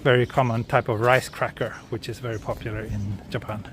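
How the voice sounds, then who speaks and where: A man's voice: medium pitch, fairly quick, slight background noise. Swedish man vlogging a city walk. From Sweden. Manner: relaxed, answers viewer chat while walking. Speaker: vlogger walking through a city. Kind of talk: live-streamed walking tour. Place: outdoors on city streets.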